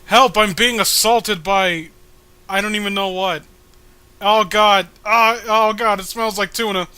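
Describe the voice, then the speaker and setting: A man's voice: sits high, fairly even intonation, a little slow, pushed and bright, smooth, no echo. A man voicing a timid, beleaguered male cartoon hero in a mock webcomic reading, hesitant and plaintive. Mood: disgusted, panicked.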